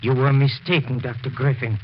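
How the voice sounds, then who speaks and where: An actor playing a grieving, obsessed widower in a 1940s radio mystery, with a halting, melodramatic delivery. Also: male voice, medium pitch, fairly even intonation, natural voice. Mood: dazed, insistent. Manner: halting, melodramatic, swinging from murmur to cry. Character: grieving widower, haunted, obsessed, desperately pleading. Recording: muffled, hissy 1940s radio recording.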